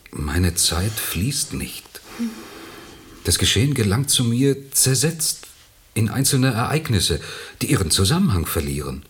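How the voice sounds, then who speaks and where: A troubled man lost in his own perceptions, in a radio play. The voice is very low and moves expressively. He speaks with halting, philosophical intensity. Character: troubled, brooding man estranged from time and perception. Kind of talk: German radio play acting.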